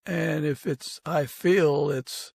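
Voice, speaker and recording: A man hosting a podcast, interviewing conversationally in deliberate, measured phrases. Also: a little high, steady tone, gravelly, darkish tone, slightly rough, no room sound. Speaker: podcast host.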